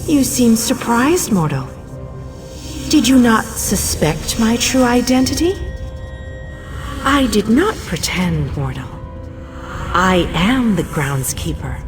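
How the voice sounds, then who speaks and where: A woman's voice: a little high, big pitch swings, slow, long pauses. An actress voicing an imperious, ancient goddess in a fantasy game, haughty, commanding and coldly regal in her delivery. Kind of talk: dramatic fantasy game dialogue. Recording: studio voice under prominent music.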